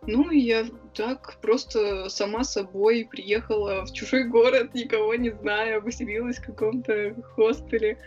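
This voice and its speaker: A woman's voice: fairly high pitch, fairly even intonation, a little slow, hardly any pauses, a little mellow, muffled recording, soft background music. A young woman, a writer and club organizer, talking casually as an interview guest, chatty and full of hesitation sounds. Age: young adult.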